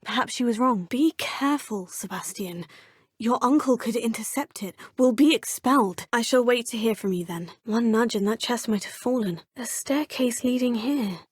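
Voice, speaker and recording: A woman's voice: a little high, normal speed, husky, no room sound. A woman voicing a young witch student in a video game, speaking in a polite, formal and inquisitive way. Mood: earnest. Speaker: player character in a video game.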